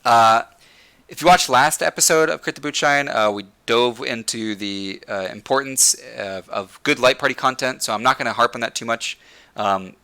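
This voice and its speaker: A man's voice: medium pitch, moderate pace, bright, forceful tone, clear, resonant tone. A young man hosting a livestream, ranting passionately to his chat and repeating words as he thinks, his voice wearing out. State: losing his voice. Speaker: livestream host. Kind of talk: casual gaming rant to chat.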